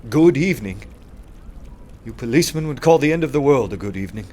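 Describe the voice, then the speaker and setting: Casting: male actor as cultured artist-detective for radio drama; dry wit, crisp theatrical diction, background sound. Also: mid-range voice, lively intonation, fast, a little mellow, close to an everyday voice. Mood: scornful. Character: wry, cultured artist turned undercover detective. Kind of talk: dramatised first-person narration and dialogue. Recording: music or ambient noise behind.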